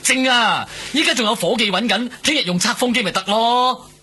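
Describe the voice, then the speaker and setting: An actor playing a raspy, urgent police colleague in a radio drama. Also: male voice, extremely high pitch, brisk, pushed and bright, slight background noise, full character voice. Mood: urgent, placating. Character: gruff male police colleague, urgently restraining a friend.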